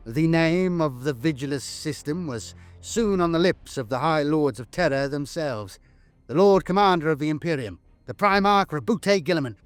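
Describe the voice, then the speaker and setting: A man delivering dramatic scripted war-lore narration in a grave, theatrical, emphatic manner. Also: fairly high pitch.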